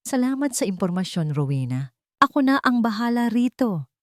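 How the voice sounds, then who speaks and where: A woman voicing a troubled, guarded father who speaks flatly and firmly. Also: fairly high pitch, normal speed, no echo, studio quality, pitched above the performer's own voice. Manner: flat, then firm and guarded.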